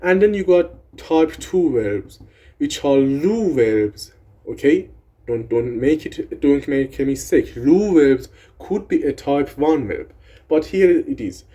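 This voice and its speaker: Slow, husky man with a Persian accent. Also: fairly high pitch, lively intonation, dark tone. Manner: rambling, swears casually, self-deprecating. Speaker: language video creator. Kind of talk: casual explainer at a whiteboard.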